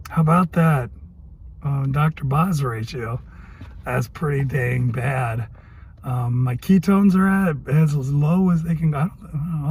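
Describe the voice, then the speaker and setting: A man with a cold and a hoarse voice, rambling through a casual video diary and thinking aloud as he goes. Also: on the high side, darkish tone. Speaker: health vlogger.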